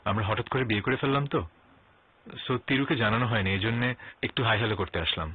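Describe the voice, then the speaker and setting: A man's voice: medium pitch, fast talker, slightly rough, lightly acted. A young man acting an easygoing, teasing newlywed in a TV drama. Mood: polite, sheepish. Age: young adult. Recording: phone-like sound under loud music.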